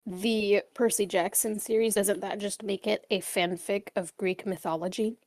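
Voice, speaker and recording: A woman's voice: medium pitch, fairly even intonation, normal speed, darkish tone, no echo, clean studio sound. A woman chatting casually in podcast banter with friends.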